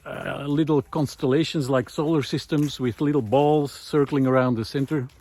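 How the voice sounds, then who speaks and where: A man's voice: mid-range voice, a little mellow. Musing man thinking aloud on the trail. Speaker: host of a recorded monologue series. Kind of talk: casual unscripted philosophical monologue. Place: outdoors on a woodland trail.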